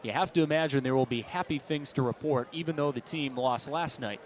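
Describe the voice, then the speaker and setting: A man's voice: mid-range voice, brisk. An American man doing live baseball play-by-play in clipped, present-tense calls, built to swell on big plays. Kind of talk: live sports commentary. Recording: ballpark ambience behind the voice. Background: outdoor stadium background noise.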